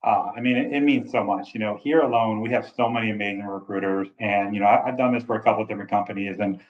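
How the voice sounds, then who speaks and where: A man in his forties with a big voice, telling his story with energetic enthusiasm in a casual interview. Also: fairly low pitch, quick pace, dull audio. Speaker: travel nurse recruiter.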